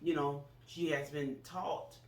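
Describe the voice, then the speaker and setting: A man's voice: fairly high pitch, little pitch movement, takes it slowly. A man around forty, co-hosting a casual political chat, talking earnestly with frequent restarts. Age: 40s. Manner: earnest, hesitant with many restarts. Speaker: co-host. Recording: echoey room, voice from far off.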